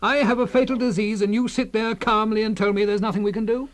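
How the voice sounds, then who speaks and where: An actor playing a self-pitying middle-aged husband given to exaggeration, exclaiming and repeating himself in comic radio play style. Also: male voice, high-pitched, flat delivery, fast, close to an everyday voice. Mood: anxious, indignant. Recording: noisy radio drama recording.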